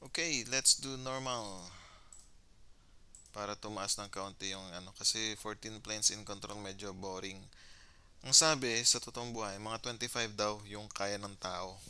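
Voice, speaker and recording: A man's voice: fairly low pitch, a little slow, sharp tone, smooth. A man with a Filipino accent casually commentating his own gameplay, thinking aloud and mixing Tagalog with English. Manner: mixes Tagalog with English phrases.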